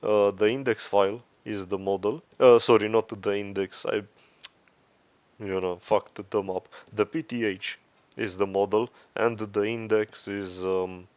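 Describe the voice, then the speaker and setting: A man with a fairly low voice presents an off-the-cuff screen-share tutorial. He talks slowly and rambles, correcting himself and cursing casually. The sound is close, dry and somewhat lo-fi. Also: steady tone, darkish tone, clear, resonant tone, dry recording. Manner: rambling, profane, self-correcting.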